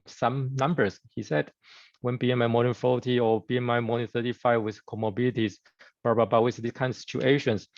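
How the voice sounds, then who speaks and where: A man from Taiwan, giving a conference talk over a video call in halting English with many fillers and restarts. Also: on the low side, vocal fry, darkish tone, no echo, muffled recording. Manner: halting, hesitant with many fillers and broken grammar. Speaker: guest speaker surgeon.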